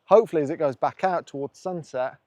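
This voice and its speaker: A man hosting his own photography channel, thinking aloud in a relaxed, musing way as he works a scene outdoors on a windy coast. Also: a little high, fast talker, no room sound, highs cut off. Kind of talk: casual vlog narration on location.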